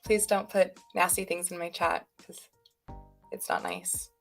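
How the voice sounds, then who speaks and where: A woman's voice: on the low side, very animated, measured pace, vocal fry, soft background music. Chatty, self-mocking woman streamer. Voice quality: chatty, self-deprecating, rambling. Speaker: stream co-host. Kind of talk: casual livestream chat.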